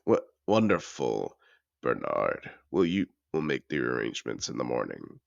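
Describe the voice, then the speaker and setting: Fantasy audio drama: male actor as seductive dragon patron, courtly and possessive, unhurried, close dry sound, deep gravelly murmur. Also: wildly swooping pitch, dry recording, lo-fi sound, full character voice. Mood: businesslike, pleased. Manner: velvety, deliberate, courtly. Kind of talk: intimate fantasy audio roleplay, slow dramatic delivery.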